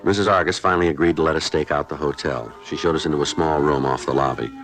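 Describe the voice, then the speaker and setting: An actor playing a terse, deadpan American police detective sergeant in a 1950s radio drama, matter-of-fact in dialogue and narration. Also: male voice, a bass voice, quick pace, over music. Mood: clipped, factual.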